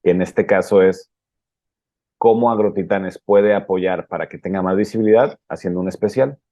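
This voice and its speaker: A man from Guadalajara with a Mexican Spanish accent, hosting a podcast and explaining ideas in a measured way. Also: low-pitched, warm tone, no room sound. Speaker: podcast host. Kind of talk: interview questions.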